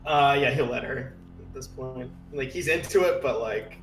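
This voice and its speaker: A man playing a tabletop roleplay, chatting casually in halting, slangy phrases, recorded at a distance in a roomy space. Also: medium pitch, a bit bright, faint music behind. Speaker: roleplay player. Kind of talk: casual game chat. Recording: roomy echo, far from mic.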